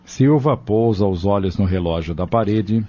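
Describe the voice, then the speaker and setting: A man's voice: lowish voice, moderate pace, mellow. A man with a Brazilian accent reading scripted narration for an audio novel. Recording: dull lo-fi audio drama recording.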